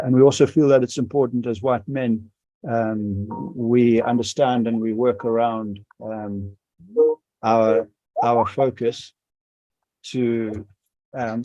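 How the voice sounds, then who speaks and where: A man from South Africa with a South African accent, leading an online workshop, speaking haltingly with many pauses and restarts. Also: on the low side, steady tone, warm tone, no echo, low-quality recording. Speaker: school administrator leading a workshop. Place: home or office on a video call. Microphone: video call microphone.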